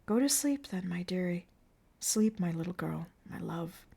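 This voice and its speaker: A woman's voice: on the low side, lively intonation, unhurried, gravelly, slightly rough, heavily acted. A woman reading as a grieving, tender clown. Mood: soothing. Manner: anguished, then hushed and soothing.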